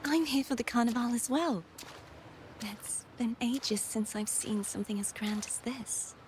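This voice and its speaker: A woman voicing a gracious, wistful young stranger in a video game, speaking in a soft, halting, polite way. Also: a little high, background noise, lightly acted. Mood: delighted, wistful.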